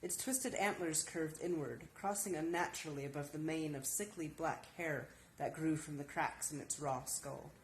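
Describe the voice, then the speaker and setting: A woman with a low voice reads fiction aloud as a dramatic narrator. The room is echoey, with faint noise behind her. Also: moderate pace, few pauses. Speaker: author. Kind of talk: dramatic story reading.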